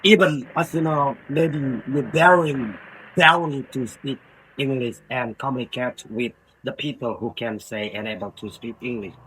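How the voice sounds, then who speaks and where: A man with an Indonesian accent speaking English as a non-native language, giving informal advice in a halting way and repeating words and phrases. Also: medium pitch, a bit bright, slight background noise, low-quality recording. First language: Indonesian, Sundanese and Javanese. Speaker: language learner giving study tips.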